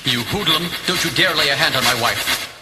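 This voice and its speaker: A man's voice: fairly high pitch, fairly quick, heavy background noise, lightly acted. An actor playing a brave, genteel father and doctor who speaks firmly and formally. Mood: indignant.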